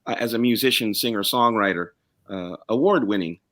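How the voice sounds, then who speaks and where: A man's voice: lowish voice, fairly quick, fairly bright tone. A man chatting casually as he introduces himself for an audition, with an eager manner. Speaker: auditioning singer-songwriter. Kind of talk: casual chat, self-introduction.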